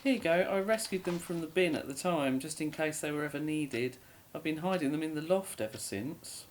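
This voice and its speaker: A woman's voice: deep voice, expressive, fairly quick, background noise. An actress playing a weary, remorseful middle-aged mother in an audio drama, speaking in a grave, resigned way. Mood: subdued.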